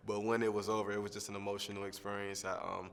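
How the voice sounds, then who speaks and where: A young man, a singing contestant, answering interview questions in a relaxed, rambling way with lots of fillers. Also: lowish voice, fast, gravelly, long reverb tail. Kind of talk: press junket soundbite interview.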